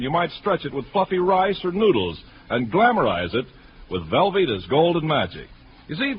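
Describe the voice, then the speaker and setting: A man's voice: a little high, lo-fi sound. An American man reading scripted 1940s radio announcements as a polished salesman. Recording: noisy, band-limited 1940s radio broadcast.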